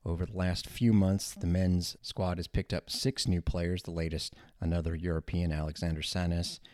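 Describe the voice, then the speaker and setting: A man's voice: low voice, flat delivery, normal speed, a little mellow, dry recording, studio quality. A man hosting a college sports radio show, talking casually with informal asides. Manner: chatty, informal, rambling asides.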